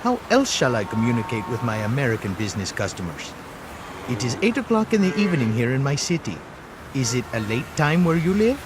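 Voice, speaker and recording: A man's voice: mid-range voice, big pitch swings, a little fast, natural voice. A man playing a friendly, talkative tuk-tuk driver in a scripted role-play interview, exclaiming about the traffic. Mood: chatty.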